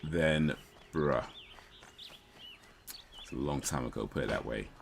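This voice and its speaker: Deep, gravelly London streamer. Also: male voice, slow pace, background noise. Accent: London English. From London, England. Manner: laid-back and chatty. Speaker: streamer playing a game. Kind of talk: casual live-stream chat.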